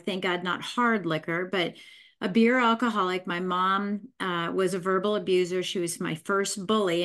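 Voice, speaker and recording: Middle-aged woman guest spilling her story, folksy and lively, mid-pitched. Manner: animated, folksy, mimics voices in her stories. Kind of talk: candid storytelling interview.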